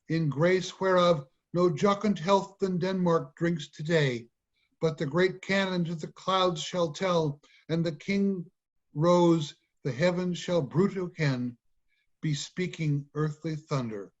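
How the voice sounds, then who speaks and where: A man playing a smooth, imperious middle-aged king in a formal, declamatory Shakespeare reading over a video call. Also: high voice. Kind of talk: dramatic reading of Shakespearean verse. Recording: dull lo-fi video call audio.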